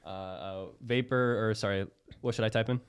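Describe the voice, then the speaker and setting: Young man, podcast host, low voice, dry close sound, loose chatty delivery. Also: steady tone, smooth, no room sound. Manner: chatty, quick laughs, acts out quotes. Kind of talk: casual chat. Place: studio.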